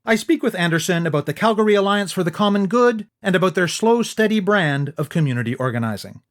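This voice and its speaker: A man with a fairly high voice reads a prepared introduction as a radio host. His delivery is measured and clear. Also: lively intonation. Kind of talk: scripted narration.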